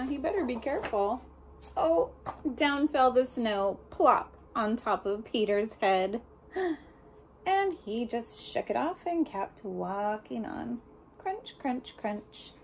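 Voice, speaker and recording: Female storybook reader for small kids: slow, very animated delivery with engaging questions, noticeable background noise. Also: a little high. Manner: expressive, questioning the listener, speaking as to small children. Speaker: storybook reader for young children. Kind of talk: read-aloud of a picture book with asides and questions to children.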